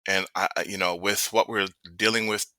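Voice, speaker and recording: A man's voice: low-pitched, steady tone, a little fast, pushed and bright, dry recording. A middle-aged man from American Samoa who grew up later in Seattle, talking earnestly and casually as an interview guest, repeating phrases for emphasis. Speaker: podcast guest, youth sports coach and father. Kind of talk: casual personal storytelling in an interview.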